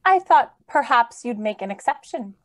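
High, dry-sounding refined young lady woman acting. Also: big pitch swings, moderate pace, no room sound. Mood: polite. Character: polite, curious, refined young lady visitor, twenty-one. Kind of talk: old-time radio drama acting.

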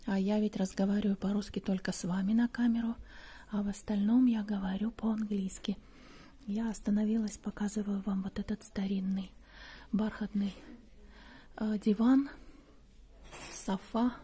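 A middle-aged woman from Russia, chatting as a vlogger while she walks through shops, often speaking softly, almost in a whisper. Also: mid-range voice, little pitch movement, moderate pace, pauses often, breathy edge. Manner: soft, often near-whispered commentary while browsing. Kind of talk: chatty walking vlog narration.

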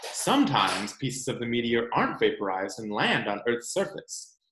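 A man in his thirties reading a children's picture book aloud in a deliberate, explanatory way, with dramatic emphasis on key words. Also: mid-range voice, very animated, a bit bright. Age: 30s. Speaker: read-aloud presenter. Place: small room at home, indoors. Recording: roomy home recording.

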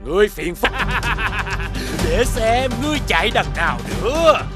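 A man's voice: high voice, lively intonation, unhurried, loud music behind the voice. A man voicing a greedy, menacing fairy-tale cartoon villain, mocking and domineering. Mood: mocking, threatening.

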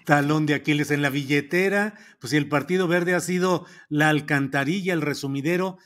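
Man, Mexican accent, middle-aged host, slightly high voice, sardonic asides, video call. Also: a little fast, a bit bright. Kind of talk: casual political chat. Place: home office with bookshelves.

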